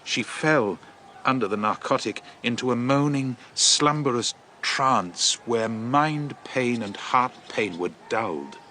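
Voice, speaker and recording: An actor playing a shaken eyewitness messenger from ancient Greece in a radio drama, telling of horror in an intense, deliberate, theatrical monologue. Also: male voice, medium pitch, sharp tone, a little hiss or hum. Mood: hushed, stunned.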